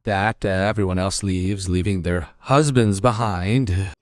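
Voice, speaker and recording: Casting: male storyteller, lowish voice, scripted narration, dry studio-like sound. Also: fairly even intonation, measured pace, dry recording.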